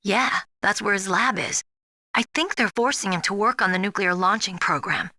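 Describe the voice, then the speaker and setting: Woman acting plucky, bright-voiced young rookie soldier. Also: medium pitch, normal speed, dry recording, clean studio sound, close to an everyday voice. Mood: concerned, alert. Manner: frank, plucky, expressive. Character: spirited, earnest young rookie woman soldier, eager to prove herself. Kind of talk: dramatic video game voice acting.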